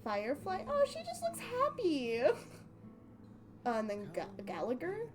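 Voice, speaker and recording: Casting: woman game streamer, higher voice, playful rambling chat, faint music underneath. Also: huge pitch swings, unhurried. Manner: rambling, playful, breaks off mid-thought. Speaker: livestream host. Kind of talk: casual gaming stream chat.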